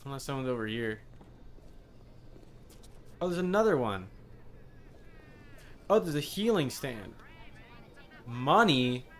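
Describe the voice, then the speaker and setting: A man's voice: mid-range voice, expressive, slow, long pauses. A man streaming a video game with casual commentary, muttering asides to the characters as he plays. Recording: faint background game noise.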